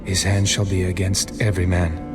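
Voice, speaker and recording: A man playing a grave, authoritative angel of the Lord in a dramatized scripture, speaking deliberately. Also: deep voice, little pitch movement, over loud music, natural voice.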